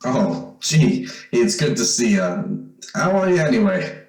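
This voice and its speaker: A man's voice: medium pitch, big pitch swings, unhurried, darkish tone. A man acting an eager, uneasy young man in an old-time radio drama, with boyish, hesitant slang. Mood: bashful, pleased. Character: eager, uneasy young man back home, telling his story. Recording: roomy echo, music behind.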